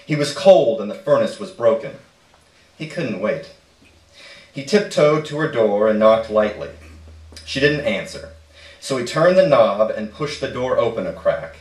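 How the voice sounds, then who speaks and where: A man's voice: medium pitch, big pitch swings, a little slow. A man reading a short story aloud as narration at a live stage reading. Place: indoor stage reading in a roomy space. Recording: echoey room, distant microphone.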